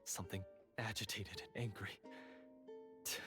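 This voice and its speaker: A man voicing a troubled, bitter heir of a monster-hunter clan in a video game, earnest, halting and dramatic. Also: on the low side, steady tone, close to an everyday voice. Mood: confused, halting. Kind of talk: video game voice acting, scripted dialogue. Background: game music.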